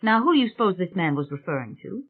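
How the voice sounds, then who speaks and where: A woman's voice: medium pitch, big pitch swings, fast, narrow, tinny audio. A woman voicing a chatty, gossipy young female reporter in an audiobook, confiding and conversational.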